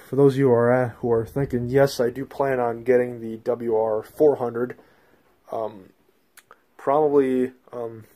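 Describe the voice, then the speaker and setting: An American man with a slightly gravelly voice giving a casual, halting, rambling video tour indoors on a handheld phone. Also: medium pitch, mellow. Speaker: host, hobbyist collector. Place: small bedroom, indoors. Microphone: handheld phone, speaker behind the camera.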